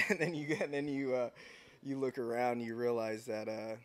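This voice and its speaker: A man in his thirties from San Diego, a podcast guest talking in a reflective, unhurried way with frequent restarts. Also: mid-range voice, flat delivery. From San Diego, United States. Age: 30s. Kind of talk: casual interview chat.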